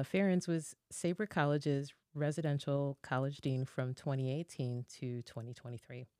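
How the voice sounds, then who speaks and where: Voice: mid-range voice, dark tone, dry recording, studio quality. A podcast host reading a guest introduction and then interviewing, with small slips and self-corrections along the way.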